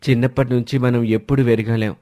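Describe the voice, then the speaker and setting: Man acting a mid-pitched, concerned family man. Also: little pitch movement, fast, mellow, close to an everyday voice. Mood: grave, persuading. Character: concerned, patient husband and brother, gently reasoning. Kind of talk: dramatized family story dialogue.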